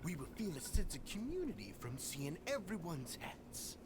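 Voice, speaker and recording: Lively, courteous idealistic leader. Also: male voice, high voice, normal speed, a crowd in the background. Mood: earnest, courteous. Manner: polite, speechifying. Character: courteous, idealistic organization leader, welcoming and earnest. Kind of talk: video game voice acting. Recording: clear background game noise.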